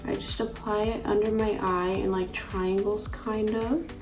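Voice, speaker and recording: A young woman with a raspy voice, chatting casually through a makeup tutorial with lots of filler words. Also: medium pitch, flat delivery, measured pace, music in the background. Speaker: beauty vlogger.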